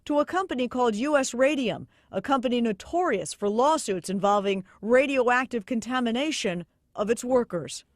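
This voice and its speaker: An American woman narrates a scripted TV news investigation. Her voice is on the high side, and the sound is very dry and close, with no room around it. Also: lively intonation, normal speed, dry recording. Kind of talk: scripted news report voice-over.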